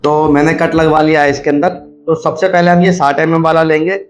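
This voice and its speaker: Male demo host, slightly high register, instructional and repetitive step-by-step delivery, light music bed. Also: flat delivery, brisk. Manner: instructional, repeats points step by step. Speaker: video host, scrap recycler. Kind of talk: hands-on demonstration with running explanation.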